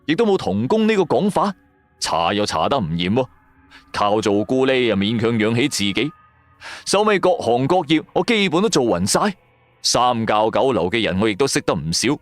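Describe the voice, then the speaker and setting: A man with a Cantonese accent telling a scripted first-person story in a colloquial, expressive style. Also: mid-range voice, no room sound. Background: music.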